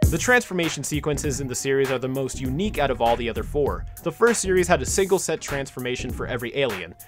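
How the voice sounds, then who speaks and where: A man's voice: mid-range voice, expressive, fast. A man hosting and narrating a scripted video essay. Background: music.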